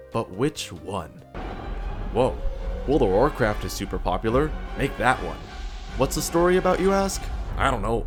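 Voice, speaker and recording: A man narrating a video essay in a casual, conversational way, sarcastically asking questions and answering them himself. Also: fairly low pitch.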